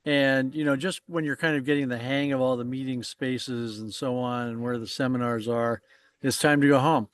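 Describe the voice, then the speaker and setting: A man's voice: mid-range voice, fairly even intonation, normal speed, clean tone. A man co-hosting an interview show who rambles and halts, repeating words as he restarts his sentences. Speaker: co-host. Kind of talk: casual interview chat.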